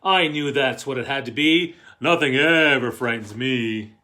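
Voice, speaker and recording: A fearless, reassuring parent from a bedtime storybook, read out by a man. The voice is bright and forceful. The rhymes come in a measured, emphatic singsong. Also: medium pitch. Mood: bold, reassuring.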